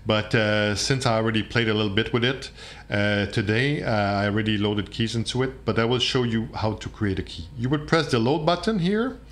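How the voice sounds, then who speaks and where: A man presents an unscripted instructional demonstration, explaining step by step in a halting way. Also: lowish voice, slight background noise.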